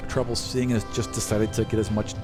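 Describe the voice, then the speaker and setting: A man's voice: lowish voice, level tone, fast talker. A man working as game master, narrating a tabletop game in a casual, conversational way. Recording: prominent music behind the voice.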